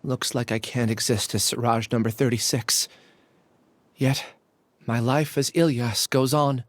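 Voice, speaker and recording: An actor playing a gentle, remorseful young scholar in a video game, earnest and reflective. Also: male voice, mid-range voice, fairly even intonation, a little slow, no echo, lightly acted. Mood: regretful, earnest.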